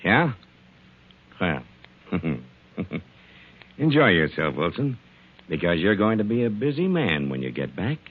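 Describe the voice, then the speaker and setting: Low-voiced menacing boss, old radio. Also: male voice, sing-song, a little slow, long pauses, breathy edge, phone-quality sound, full character voice. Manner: curt, threatening. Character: gruff, menacing male boss who talks like a gangster. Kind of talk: 1940s radio acting. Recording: thin 1940s radio recording.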